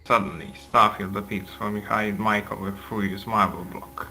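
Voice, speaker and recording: A man's voice: lowish voice, steady tone, normal speed, bright, forceful tone. A man reading scripted narration of a fantasy action story as its narrator. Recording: clear background noise and hum.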